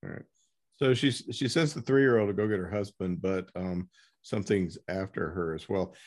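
A man hosting a casual interview, talking in a halting, informal way. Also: deep voice, a little mellow. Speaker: podcast host interviewing an author.

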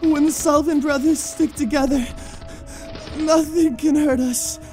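An actress playing a frail, affectionate sibling in an audio drama, speaking in a halting, tender way. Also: female voice, sits high, steady tone, darkish tone, soft background music. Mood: tender, weak. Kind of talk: emotional audio drama acting.

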